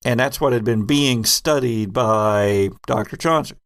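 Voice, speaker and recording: Man, game master, creaky gravelly voice, dry close sound, casual retrospective chat. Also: mid-range voice, measured pace, dry recording. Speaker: game master of an actual-play podcast.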